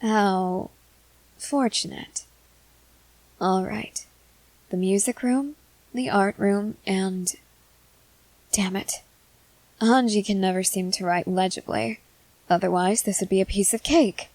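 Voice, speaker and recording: Lady acting as a snooty composed schoolgirl, asmr roleplay, talks all formal and measured, super dry sound. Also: medium pitch, a little mellow, no echo, low-quality recording. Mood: calm, pleased. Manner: formal, articulate, measured speech with deliberate pauses. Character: composed, haughty junior high schoolgirl, amused and sardonic. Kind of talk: scripted ASMR roleplay monologue.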